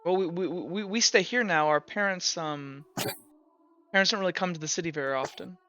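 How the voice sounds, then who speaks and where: A man's voice: sits high, a little fast, sharp tone, dull audio, soft background music. A man voicing a shy young noblewoman acolyte who stammers and hesitates. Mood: nervous.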